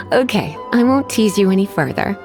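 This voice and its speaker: A woman's voice: mid-range voice, darkish tone. A woman playing a languid, teasing librarian in a video game, coy and polite with menace underneath. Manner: coy, sighing, drawn-out politeness. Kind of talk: English video game voice acting.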